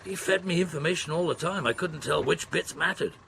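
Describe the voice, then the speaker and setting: A dogged, world-weary detective recounts his investigation in a radio drama. The voice is middle-aged and creaky at an ordinary pitch, with faint noise around it. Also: brisk, bright, forceful tone. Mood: reflective, brooding. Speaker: narrator and lead character. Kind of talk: radio drama acting, first-person narration.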